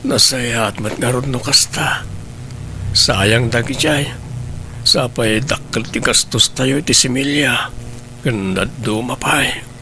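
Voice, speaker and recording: A man's voice: mid-range voice, slow, long pauses, bright, forceful tone, a little hiss or hum. A man playing a husband in a troubled household audio drama. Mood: weary, worried. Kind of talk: Ilocano audio drama acting.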